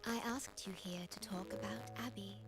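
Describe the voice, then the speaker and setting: A woman playing a calm, formal female guardian in a video game, measured and soft-spoken. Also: mid-range voice, loud music behind the voice, natural voice. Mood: calm, concerned. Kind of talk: video game story dialogue.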